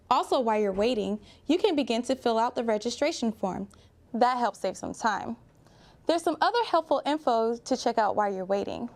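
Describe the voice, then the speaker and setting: A woman's voice: fairly high pitch, a little mellow. A woman giving a scripted informational narration as a college advising presenter, explaining things step by step.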